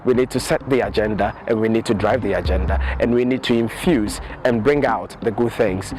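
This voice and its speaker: A man's voice: on the low side, brisk, darkish tone, a little hiss or hum. A young man from Ghana, answering interview questions outdoors on a lapel mic with emphatic, repeated phrases. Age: young adult. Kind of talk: earnest to-camera interview answer. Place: outdoors on a campus lawn.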